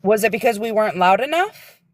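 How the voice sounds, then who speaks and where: A woman's voice: medium pitch, lively intonation, a little fast, no echo. A woman, a guest on a livestream, speaking earnestly and lecturing, sometimes searching for her words. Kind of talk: casual livestream chat.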